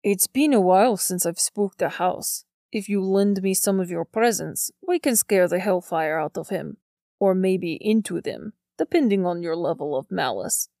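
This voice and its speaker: A woman voicing a gentlemanly male ghost in an audiobook, mischievous and suave as he instructs. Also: on the low side, moderate pace, no room sound, studio quality, close to an everyday voice. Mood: mischievous, amused.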